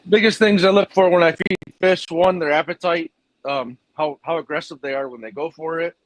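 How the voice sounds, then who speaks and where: A man's voice: on the high side, steady tone, fairly quick, poor audio. A man who runs a fish food business, answering interview questions in a plainspoken, rambling way with fillers.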